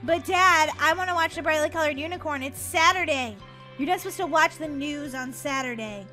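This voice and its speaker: A woman's voice: high-pitched, fairly quick, bright, forceful tone, soft background music. A woman voicing a playful, whiny, sassy young girl in an exaggerated childlike manner, role-playing over a video game. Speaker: gaming channel host.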